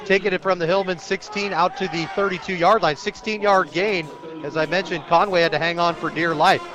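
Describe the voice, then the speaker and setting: A man with an American accent and a fairly high voice calls football live for radio. He describes each moment of the action as it unfolds, from outdoors at the stadium. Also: fairly even intonation, fairly quick, bright, forceful tone. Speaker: play-by-play sports announcer.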